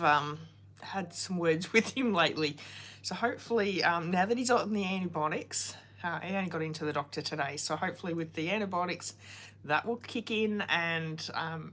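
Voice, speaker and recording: A woman's voice: low-pitched, fairly bright tone. A woman, hosting a casual card-making livestream, chatty and greeting viewers by name while coming down with a cold and a sore throat.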